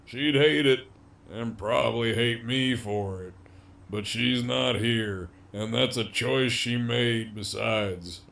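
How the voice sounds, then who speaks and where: A man playing a cocky, sardonic middle-aged captive villain in an audio drama, with a gravelly, teasing drawl. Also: medium pitch, takes it slowly, a bit bright. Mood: bitter. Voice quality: gravelly, creaky.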